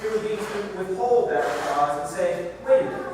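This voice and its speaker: A man giving a measured, deliberate public reading of prepared excerpts at a church service. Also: sits high, animated. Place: large reverberant church room. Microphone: distant microphone. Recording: echoey hall, far from mic, noisy.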